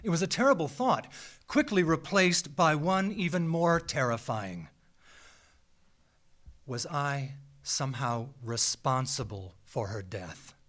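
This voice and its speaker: An American man reads a scripted personal story live on stage, with a measured, theatrical delivery and deliberate dramatic pauses. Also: fairly high pitch, lively intonation, fairly bright tone. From small town in Minnesota, United States. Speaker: writer reading a personal essay. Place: small theatre, live event.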